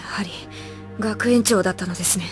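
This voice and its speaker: A woman's voice: mid-range voice, a little fast, music in the background, close to an everyday voice. Woman acting a reserved, serious schoolgirl shrine maiden. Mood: subdued, serious. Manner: hushed, polite, serious. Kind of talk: anime audio drama acting.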